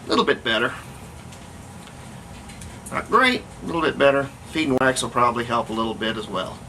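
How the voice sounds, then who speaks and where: A hobbyist restorer giving a casual hands-on workshop demonstration, thinking aloud in a halting way as they work. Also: mid-range voice, very animated, pushed and bright. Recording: noisy room recording with ambient sound.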